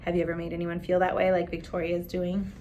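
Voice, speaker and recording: A woman in her thirties reading a picture book aloud expressively like a teacher, with casual questions to children. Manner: animated storytelling, pausing to ask children questions. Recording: clear background hiss and low rumble.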